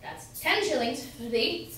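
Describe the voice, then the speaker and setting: A carefree old husband in an amateur stage play, acted by a young woman. The voice is high and bright, theatrical, and apt to break into song. It is picked up from far off in a very echoey hall. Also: lively intonation, takes it slowly, slight background noise, heavily acted. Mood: merry, carefree. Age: young adult. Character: jolly old carefree singing husband and father. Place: indoor room at a college staged reading.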